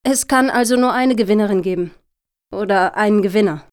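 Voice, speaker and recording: An actress playing an earnest, officious lobbyist in a satirical radio play, talking in formal, deliberate, speech-like sentences. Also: female voice, mid-range voice, clean tone, lightly acted. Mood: explanatory. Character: earnest, officious female lobbyist pitching for funding.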